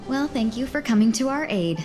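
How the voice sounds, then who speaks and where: A woman's voice: a little high, animated, a little fast, a little mellow, natural voice. A woman voicing a polite, refined young merchant ambassador in a video game, with formal, flowery courtesy. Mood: gracious, composed. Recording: music under the voice.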